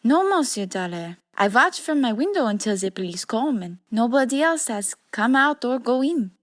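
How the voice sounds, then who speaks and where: A nervous young Frenchwoman in a radio mystery, with a high voice and a French accent. She speaks politely in broken English, hesitating as she searches for words. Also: big pitch swings, normal speed. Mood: nervous, earnest. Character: nervous young French immigrant woman, crime witness. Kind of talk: radio detective drama acting.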